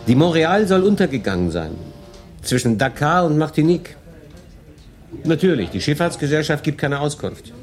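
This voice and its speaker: An actor playing a world-weary German refugee in a wartime radio play, confiding and rambling to a silent listener. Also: male voice, a little high, sing-song, moderate pace, soft background music. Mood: eager, inviting. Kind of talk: radio play acting, conversational monologue. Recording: busy café murmur behind. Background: crowd in a café.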